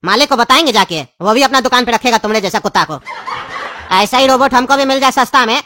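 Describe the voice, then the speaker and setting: A man voicing a cheeky, mouthy tempo driver in a cartoon, brash and mocking, with a Bihari Hindi accent and a pitched-up voice. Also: quick pace, sharp tone, dry recording.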